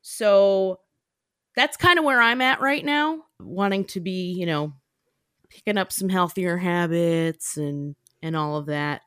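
A woman's voice: on the low side, takes it slowly, a bit bright, smooth, no room sound, studio quality. A woman co-hosting a casual podcast, chatty, laughing often and using many fillers. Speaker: podcast co-host. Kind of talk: casual chat.

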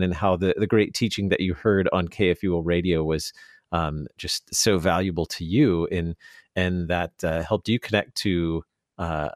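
A man's voice: deep voice, no room sound, clean studio sound. An American man hosting a radio interview conversationally, repeating words as he frames his thoughts. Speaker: radio show host.

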